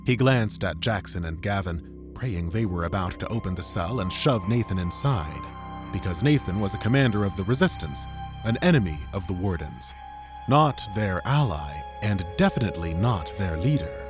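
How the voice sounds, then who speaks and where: A man's voice: deep voice, lively intonation, normal speed. A man reading dramatic scripted narration as an audiobook narrator. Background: music.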